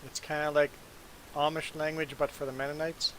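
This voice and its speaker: A man hosting a live stream, chatting casually with viewers; his first language is Low German and he grew up in Belize and Canada. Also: moderate pace, a little hiss or hum, low-quality recording. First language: Plautdietsch (Low German). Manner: relaxed, chatty, answering viewers' chat. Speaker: livestream host.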